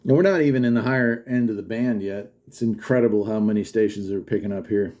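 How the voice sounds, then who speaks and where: A man with a fairly low voice talks casually and enthusiastically, as if thinking out loud. He is commenting while he tunes a radio, and there is plain static and hum behind him. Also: quick pace. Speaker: host of a radio hobby channel. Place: room with fridge and air conditioner running. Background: radio static, appliance hum.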